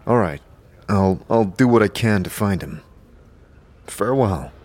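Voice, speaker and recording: A man's voice: on the low side, animated, unhurried, a little mellow. A man playing an amnesiac undead wanderer in a fantasy audio drama, dry, earnest and understated. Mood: dry, curious. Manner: hesitant, understated. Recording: faint background noise and ambience.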